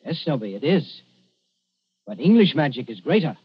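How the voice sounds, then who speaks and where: An actor playing a wily, commanding English adventurer in 1940s radio style, speaking persuasively and deliberately in simple phrases. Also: male voice, fairly high pitch, big pitch swings, husky, full character voice. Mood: smooth, triumphant. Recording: muffled, noisy 1940s radio recording.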